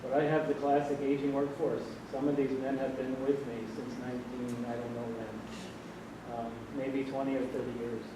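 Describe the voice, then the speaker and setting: Man in his sixties, soft-spoken, rambling with fillers; distant mic in a very echoey hall. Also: mid-range voice, level tone, moderate pace, background noise. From Vermont. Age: 60s. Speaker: audience member at a panel. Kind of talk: public comment at a panel discussion. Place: large hall. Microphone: distant room mic.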